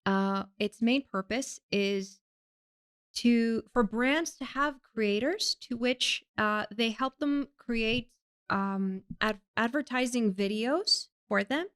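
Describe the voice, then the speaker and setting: A woman's voice: a little high, slow, fairly bright tone, smooth. A woman from Greece, a podcast guest chatting casually and laughing often. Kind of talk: casual interview chat.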